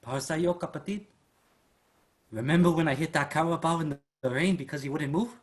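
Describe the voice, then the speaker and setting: Actor as a proud, stubborn elder brother. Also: male voice, a little high, fairly quick, lightly acted. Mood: heartfelt, resolute. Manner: plain-spoken, heartfelt, defiant when provoked. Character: Amado, proud, stubborn elder Filipino brother, rough drifter. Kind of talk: staged reading drama. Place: online virtual staged reading.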